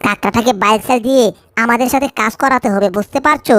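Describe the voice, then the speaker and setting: A woman's voice: fairly high pitch, quick pace, natural voice. A woman voicing a timid, naive cartoon cat in a fan dub, pleading yet eager. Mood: nervous, urging.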